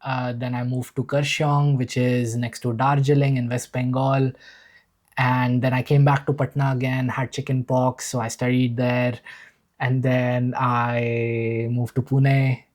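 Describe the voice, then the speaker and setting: A man's voice: medium pitch, level tone, a little slow, darkish tone. A man from India with an Indian accent, telling his life story earnestly and enthusiastically in a casual podcast conversation. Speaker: podcast guest, usual host.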